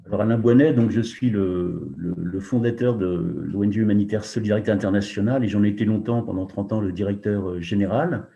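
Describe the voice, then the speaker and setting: An older man, founder of a humanitarian organization, introducing himself on a webinar video call in a measured way, listing facts with frequent hesitations. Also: lowish voice, vocal fry, mellow, muffled recording. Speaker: founder of a humanitarian NGO, panelist.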